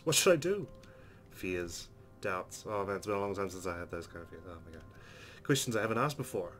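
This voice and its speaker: Fast-talking man reading game narration. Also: on the low side, fairly bright tone, a little hiss or hum. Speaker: let's play host. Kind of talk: reading game narration aloud with casual commentary.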